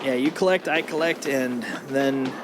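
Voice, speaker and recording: A man's voice: medium pitch, normal speed. A playful, goofy man chatting casually as he streams a game, often riffing in a sing-song way. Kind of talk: casual gameplay chat. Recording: loud game noise behind. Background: video game sound effects.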